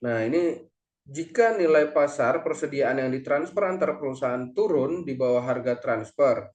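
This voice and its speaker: A man presents a lesson in a mid-pitched voice. He explains things step by step, now and then halting and correcting himself. The recording is echoey, with clear room reverberation. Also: a little mellow. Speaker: accounting lecturer or presenter.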